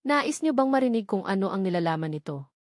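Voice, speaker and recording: A woman's voice: mid-range voice, very animated, fast, no room sound, clean studio sound, natural voice. A woman voicing a determined male lawyer in a dramatic story, firm, confident and confronting. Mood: confident, challenging.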